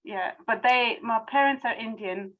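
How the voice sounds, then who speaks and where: A woman's voice: fairly high pitch, normal speed, phone-quality sound. A woman from Pakistan chatting with a guest over a video call, teasing and coy about details. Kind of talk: casual video-call chat.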